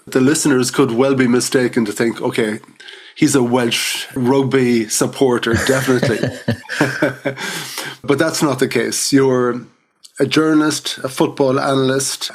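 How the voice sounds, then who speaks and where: A man hosting a podcast interview, chatty and enthusiastic, often laughing between points. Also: medium pitch. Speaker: podcast host. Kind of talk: casual interview chat.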